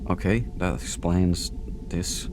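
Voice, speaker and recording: Male let's play host; deep, slow, chatty and playful, clear background noise. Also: steady tone. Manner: joking, chatty asides to game characters. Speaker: gaming host. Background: game ambience.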